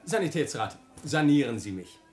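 Actor as echoey young spendthrift, fairly high voice, cheeky, flippant, wheedling, radio play. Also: male voice, animated, moderate pace, a little mellow, soft background music, lightly acted. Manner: flippant, wheedling, mock-declamatory. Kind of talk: German radio drama acting. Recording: roomy echo around the voice.

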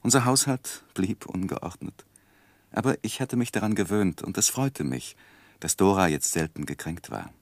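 A man's voice: deep voice, lively intonation, moderate pace, dry recording. An actor playing an earnest, anxious young husband who also narrates his life in a 1960s German radio drama. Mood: reflective narration. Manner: earnest, hesitant in argument.

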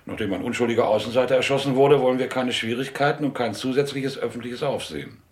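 An actor playing a middle-aged, elegant mobster in a German radio drama, businesslike and coolly threatening. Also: male voice, medium pitch, fast talker, natural voice. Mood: businesslike threat.

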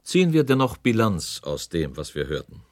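An actor playing a grave inquiry speaker in a documentary radio drama, with a formal, accusatory delivery. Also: male voice, low-pitched, very animated, normal speed. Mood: grave, summing up. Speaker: presiding narrator.

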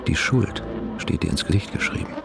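An actor in a German radio drama playing a returned husband, controlled, evasive and quietly menacing. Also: male voice, normal speed, over music, close to an everyday voice. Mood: cold, threatening.

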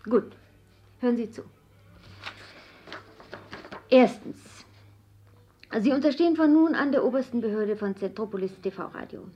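A woman's voice: a little high, unhurried, pauses often, husky, highs cut off. An actress playing a commanding female official in a radio drama, formal and clipped in her speech. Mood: stern, formal.

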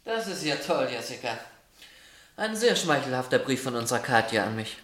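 Young elder brother: medium-pitched, chatty, teasing and fond, radio play delivery. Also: male voice, sing-song, normal speed, fairly bright tone. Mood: amused, chatty. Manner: playful, wry, chatty. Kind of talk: German radio play acting.

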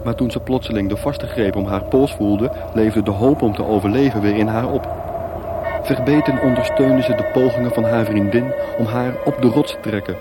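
A man's voice: medium pitch, steady tone, normal speed. A man narrating a radio play as scripted, dramatic storytelling. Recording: music bed behind. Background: music.

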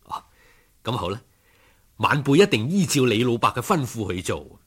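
A man acts out an earnest young scholar from a storybook, humble and formal toward elders. The voice is mid-pitched with a husky edge, and the phrases are measured and respectful. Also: sing-song, a bit bright. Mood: deferential. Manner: formal, respectful, self-deprecating. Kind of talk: storybook character acting.

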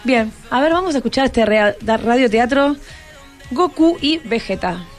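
Chatty high-voiced Argentine woman radio host. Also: lively intonation, normal speed, soft background music. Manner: chatty, encouraging, thinks aloud. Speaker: radio host interviewing students. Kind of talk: informal radio interview. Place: radio broadcast at a microphone.

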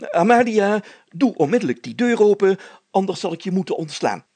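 Man voicing a pompous, blustering burgomaster, animated and loud. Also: sits high, fairly quick, slightly rough, dry recording, pitched above the performer's own voice, full character voice. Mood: indignant. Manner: pompous, old-fashioned formal phrasing, blustering. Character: pompous, flustered male burgomaster and police chief.

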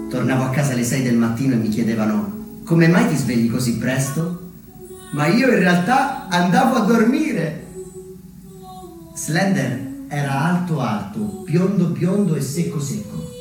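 A man performing a scripted theatrical monologue as narrator, telling his story deliberately with dramatic pauses and emphatic swings. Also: medium pitch. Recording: very echoey, distant, under loud plucked guitar music. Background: plucked guitar music.

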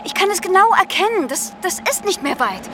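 A troubled, halting middle-aged actress. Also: female voice, extremely high pitch, very animated, sharp tone, a little hiss or hum, heavily acted. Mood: urgent, wistful. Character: troubled actress Ellie, haunted by family loss, stubbornly self-reliant. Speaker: actress in a radio crime drama. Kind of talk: German radio play acting.

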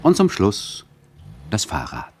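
An actor playing a wry, deadpan narrator who explains a radio play in a mock-instructive manner. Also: male voice, on the low side, slow pace, very noisy, full character voice.

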